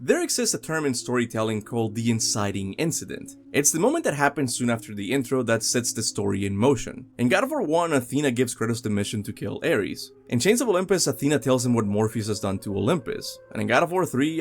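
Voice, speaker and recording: A young man narrating a scripted video game countdown as host, with music behind him. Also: medium pitch.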